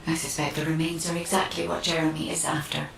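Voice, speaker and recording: An actress playing a calm, analytical science officer in a video game, speaking in a measured, precise and composed way. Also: female voice, low-pitched, vocal fry, heavily acted. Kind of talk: dramatic video game cutscene acting. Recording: echoey, distant, noisy game audio.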